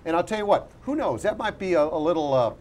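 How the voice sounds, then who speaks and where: A man's voice: sits high, animated, fast, a little mellow, slight background noise. A man giving conversational sports commentary as a panelist, in a folksy, rambling way.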